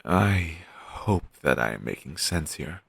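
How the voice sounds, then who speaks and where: Male actor as morose undead best friend, audio roleplay, slow halting delivery, deadpan, resigned, gravelly fry, close dry sound. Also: rumbling low, no echo, exaggerated voice. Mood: haunted, reflective. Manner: deadpan, halting, listless delivery with long pauses. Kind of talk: audio roleplay, intimate scripted monologue addressed to listener.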